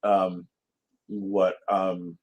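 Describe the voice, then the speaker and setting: A man's voice: sits low, completely flat delivery, very slow, muffled recording. A man speaking as an interview guest, thoughtful and hesitant, feeling his way through casual answers. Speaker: guest playwright.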